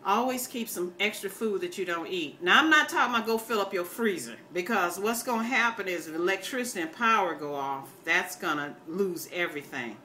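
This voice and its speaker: Bright-voiced older American woman, folksy and plain-spoken. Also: mid-range voice, animated, brisk, few pauses, slight background noise. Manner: folksy, opinionated, plain-spoken, calls listeners baby. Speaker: video blogger. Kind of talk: casual rambling chat to viewers.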